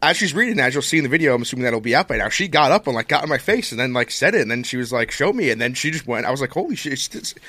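A young man co-hosting a podcast, telling a story in casual chat, animated and enthusiastic, swearing freely. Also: mid-range voice, very fast, hardly any pauses, no room sound. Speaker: podcast co-host.

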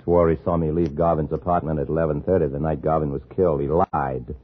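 A man's voice: extremely low pitch, steady tone, fast talker, husky. An American actor playing a tense, dogged man on the run in a 1950s radio thriller. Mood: guarded, probing. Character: tense hunted man, framed for murder, urgent and dogged. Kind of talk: 1950s radio thriller acting and first-person narration. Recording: muffled old radio broadcast with music.

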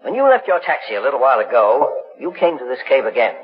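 A shrewd, unflappable investigator from 1940s radio , played by a man. He questions people in a measured, authoritative way with lively rises and falls, on a dull old recording. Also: mid-range voice, background noise, natural voice. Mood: pressing. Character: calm, shrewd male investigator questioning a suspect.

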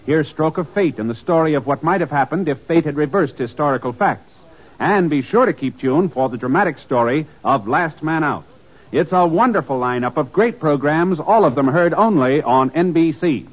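A man's voice: on the high side, lively intonation, normal speed. A man announcing in a scripted 1950s network radio style. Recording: muffled old radio, loud music behind.

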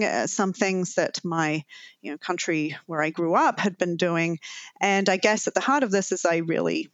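A woman's voice: on the low side, dry recording, highs cut off. A woman with a New Zealand accent, a professor answering interview questions thoughtfully with frequent restarts. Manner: thoughtful, many restarts and fillers.

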